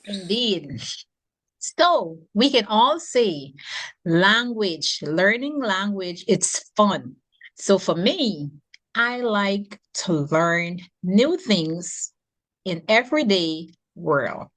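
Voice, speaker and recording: A woman's voice: mid-range voice, animated, drawn-out words, fairly bright tone. A woman, a student leading a class panel discussion. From Guyana.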